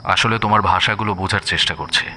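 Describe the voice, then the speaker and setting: An actor playing a jealous, lovesick young boyfriend who lives far away, in a romantic audio drama. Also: male voice, low voice, quick pace, pushed and bright, a little hiss or hum. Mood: sulky, suspicious. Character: jealous, lovesick long-distance boyfriend, young adult man.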